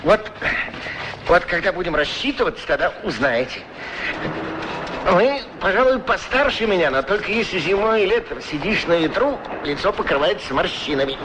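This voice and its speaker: A man's voice: high voice, expressive, moderate pace. An actor playing a weathered, talkative shoeshine man with a chatty, teasing manner in a radio play. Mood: good-natured. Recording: noisy old radio play recording.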